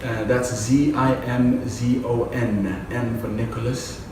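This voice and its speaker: A man's voice: medium pitch, steady tone, takes it slowly, heavy reverb, far from the mic, background noise. A man from the Congo whose first language is Lingala, talking casually as a guest actor with many fillers. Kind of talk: casual interview.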